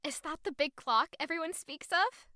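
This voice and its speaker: A woman plays a young noblewoman in love in an audio drama. Her voice is high, bright and dry. She is sweet and earnest, gently pressing with her questions. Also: normal speed, dry recording. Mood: affectionate. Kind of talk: romantic audio drama acting.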